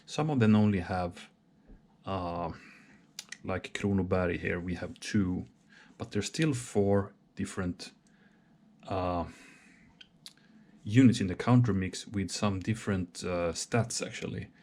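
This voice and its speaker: Slow, deep-voiced man thinking aloud. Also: darkish tone. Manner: hesitant, many pauses and restarts. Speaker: solo board game player. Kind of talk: thinking aloud while setting up a wargame.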